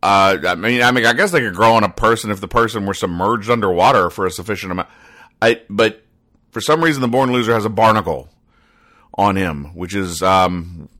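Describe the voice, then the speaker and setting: A man hosting a comedy podcast, explaining things in a deadpan, pedantic, halting way with earnest over-explanation. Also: lowish voice. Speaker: podcast host.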